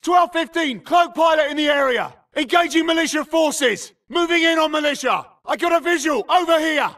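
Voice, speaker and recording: Tough infantryman for a shooter game: urgent, clipped shouted barks, slightly distant from the mic. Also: very high-pitched, moderate pace. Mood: alert, urgent. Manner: clipped, urgent radio-style callouts. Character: urgent, tough male infantry soldier in battle. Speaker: voice actor in a video game. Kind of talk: shouted military combat barks.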